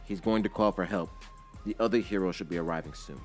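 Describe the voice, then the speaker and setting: A man's voice: sits low, quick pace, faint music behind, voice pushed down, close to an everyday voice. A man voicing a formal, loyal male servant to a villain, polite and controlled.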